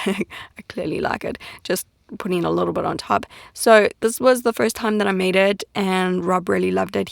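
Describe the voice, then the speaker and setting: A woman's voice: medium pitch, creaky. A young woman from Africa, talking to camera in a casual, earnest vlog. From South Africa. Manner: earnest, warm appeal to viewers, chatty. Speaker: vlogger.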